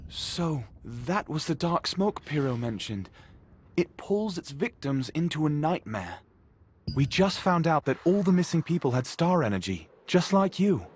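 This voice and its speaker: An actor playing an earnest, studious mage investigator in a video game, speaking in a thoughtful, articulate way. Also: male voice, fairly high pitch, very animated, a little slow, husky, full character voice.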